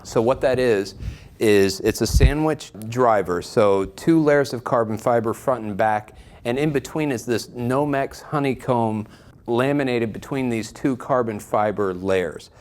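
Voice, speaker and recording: A man's voice: medium pitch, a little slow, darkish tone. A man speaking as an enthusiastic shop presenter, giving an informal product review straight to viewers. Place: shop listening room, indoors.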